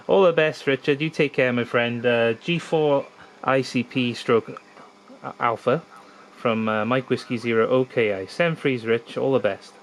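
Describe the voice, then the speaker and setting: A man's voice: mid-range voice, unhurried, rough recording. A man chatting in an easygoing way during a casual amateur radio contact.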